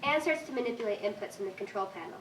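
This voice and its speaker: A woman's voice: medium pitch, animated, very fast. A woman reading stage directions as scripted narration at a live staged reading. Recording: echoey room, distant mic, faint noise.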